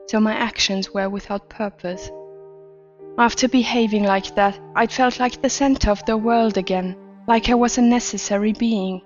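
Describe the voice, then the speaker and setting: A woman playing a troubled adult narrator confessing a twisted, attention-starved childhood in a deliberate horror story reading. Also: medium pitch, lo-fi sound, close to an everyday voice. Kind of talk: scripted first-person horror story narration. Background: background music.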